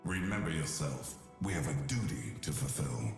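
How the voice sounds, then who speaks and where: A man voicing an ancient, wise god guardian in a video game, speaking in a grave, measured, formal and patient way. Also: a bass voice, expressive, vocal fry, full character voice. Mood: grave, counselling. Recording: echoing voice over music.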